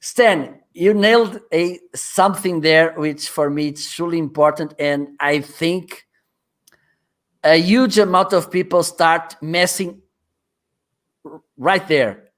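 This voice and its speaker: A man from Portugal with a Portuguese accent hosting a live interview in a second language, halting and often restarting, and he laughs easily. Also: a little high. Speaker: host.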